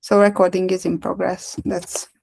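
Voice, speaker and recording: A woman's voice: fairly low pitch, little pitch movement, moderate pace, gravelly, a little mellow, slightly rough. A woman hosting an online meetup on a video call, talking in a hesitant way with many restarts and fillers. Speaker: meetup host. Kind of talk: casual chat on a video call.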